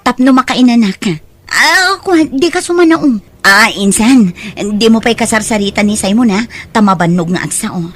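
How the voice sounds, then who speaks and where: An actress playing a greedy, scheming aunt in an audio drama, speaking with an Ilocano Filipino accent in an exclamatory, theatrical way. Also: female voice, fairly high pitch, animated, moderate pace, fairly bright tone. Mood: falsely caring. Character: greedy, scheming aunt exploiting her singing nephew.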